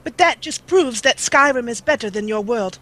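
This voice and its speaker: A woman voicing a proper, haughty female adventurer from a fantasy game, speaking slowly and formally. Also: a little high, lively intonation, bright, forceful tone. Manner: formal, slow, lofty speech.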